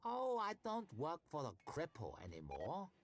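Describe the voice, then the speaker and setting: Male narrator playing a menacing female fixer, sardonic and teasing, lively delivery. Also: lowish voice, unhurried, breathy edge, heavily acted. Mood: mocking.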